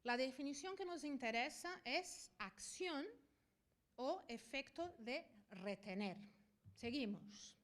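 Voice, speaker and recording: A middle-aged woman from Brazil, a Portuguese native speaker who speaks Spanish picked up in Spain, giving a conference talk as a veterinarian and professor, often checking in with a quick "ok?". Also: high-pitched, expressive. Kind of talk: conference presentation.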